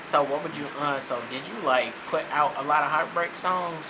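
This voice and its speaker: A man's voice: a little high, fairly even intonation, moderate pace. A man asking a guest questions on a radio show, casually rephrasing as he goes. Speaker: interviewer. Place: radio studio. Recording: noisy studio background.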